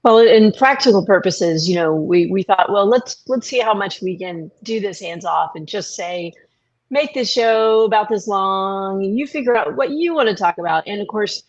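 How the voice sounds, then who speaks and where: A woman's voice: medium pitch, expressive, normal speed, highs cut off. A woman speaking as a producer on a panel, explaining her work in a conversational way. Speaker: podcast producer.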